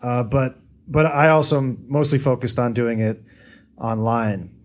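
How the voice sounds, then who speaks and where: A man's voice: medium pitch, a little slow. An American man speaking as an interview guest, thinking aloud with lots of fillers and hedges. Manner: thoughtful, hedging with many fillers. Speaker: guest, data journalist. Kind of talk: casual interview answers.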